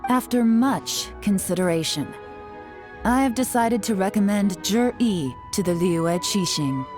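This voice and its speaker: A woman's voice: medium pitch, lively intonation, unhurried, music in the background, natural voice. A woman playing a cunning, composed intelligence chief in a video game, speaking with poised assurance. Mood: composed, official.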